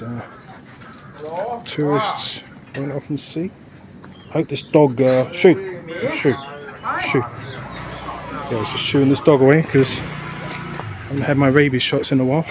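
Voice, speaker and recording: A man's voice: mid-range voice, steady tone, measured pace, long pauses, crowd noise. A man vlogging as he walks through the city and a park, out of breath and rambling in a chatty way with lots of fillers. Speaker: travel vlogger. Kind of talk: casual walking commentary. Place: outdoors on city streets and a park walkway. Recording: outdoor mic with traffic noise. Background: traffic and city ambience.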